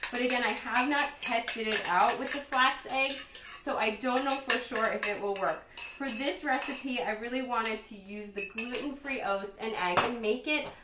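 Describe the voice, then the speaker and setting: Woman cooking host, slightly hoarse, high-pitched, chatty, upbeat, casual live demo, roomy distant sound. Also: normal speed, heavy background noise. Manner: chatty, upbeat, addressing viewers directly. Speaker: cooking show host and chef. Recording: roomy echo, distant microphone.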